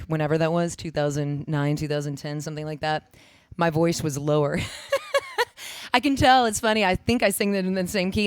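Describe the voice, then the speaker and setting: A raspy woman in her thirties, a singer-songwriter rambling and joking between songs on a stage. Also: deep voice, no echo, studio quality. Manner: rambling, self-mocking, quick asides. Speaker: singer-songwriter performing live. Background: guitar playing.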